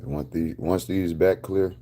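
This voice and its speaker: A man's voice: low-pitched, steady tone, measured pace, warm tone, a little hiss or hum. A man in his thirties talking casually to camera, boastful and rambling, full of street slang. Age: 30s. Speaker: vlogger.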